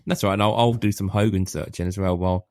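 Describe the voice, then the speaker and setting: A man's voice: low-pitched, steady tone, quick pace, darkish tone, no echo, studio quality. A man co-hosting a podcast, talking casually and rambling through a list with lots of ums. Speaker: podcast co-host. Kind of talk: casual chat.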